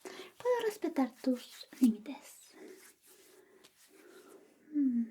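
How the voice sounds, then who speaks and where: A woman playing an affectionate, teasing young best friend secretly in love, in a soft, breathy, whispered ASMR roleplay. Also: high voice, big pitch swings, exaggerated voice. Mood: tender, playful. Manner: slow, coaxing, intimate, playfully teasing. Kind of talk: ASMR personal-attention roleplay.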